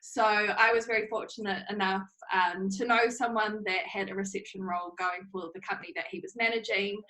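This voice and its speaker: A woman's voice: mid-range voice, fairly even intonation, a little fast, sharp tone, rough recording. Chatty New Zealand woman. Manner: chatty, laughs often, many fillers. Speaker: interview guest, social media manager. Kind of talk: casual interview talk.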